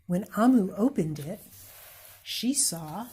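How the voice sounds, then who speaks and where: Slow, breathy storytime reader, woman. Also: medium pitch, animated, poor audio. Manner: slow, gentle storytime reading, pointing out pictures. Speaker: read-aloud reader. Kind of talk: children's picture book read-aloud.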